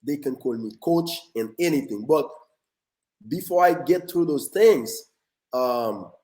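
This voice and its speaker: A man from Haiti speaks with a Haitian accent, earnest and a little halting, restarting and repeating words. His tone is dark and mellow. The room around him is echoey. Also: medium pitch, animated. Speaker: guest, motivational speaker. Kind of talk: interview, telling his life story.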